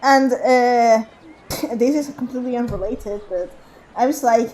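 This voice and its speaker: A woman's voice: fairly high pitch, unhurried, warm tone, slight background noise, poor audio. A woman streaming a game, rambling in casual commentary with frequent laughter and restarts.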